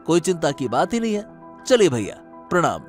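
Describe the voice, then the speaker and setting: Cartoon role, male actor: dutiful, trusting younger brother, earnest and respectful, slightly high, very expressive pitch. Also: normal speed, faint music behind. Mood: obliging. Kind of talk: Hindi cartoon moral story acting.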